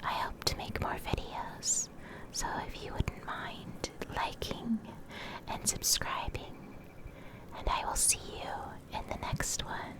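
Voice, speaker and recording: A woman reading in a soft, breathy whisper for ASMR, in short deliberate phrases with pauses, close to the microphone. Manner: slow, deliberate, pausing between short phrases. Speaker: ASMR video creator reading game lore. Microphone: Blue Yeti desktop microphone, close up.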